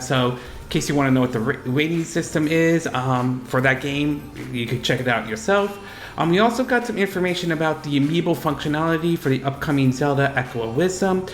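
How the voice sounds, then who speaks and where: Higher-voiced man with a cold, roomy sound. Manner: rambling, halting, self-correcting delivery with repeated verbal tags. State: fighting off a cold. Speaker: YouTube gaming news host. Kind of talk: unscripted gaming news commentary.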